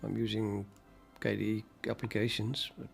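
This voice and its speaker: An older man from the Netherlands with a Dutch accent, talking casually and haltingly to his livestream chat while he codes. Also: lowish voice, level tone, vocal fry, soft background music. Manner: musing, hesitant, halting with many fillers, chuckles to himself. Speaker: programming livestreamer.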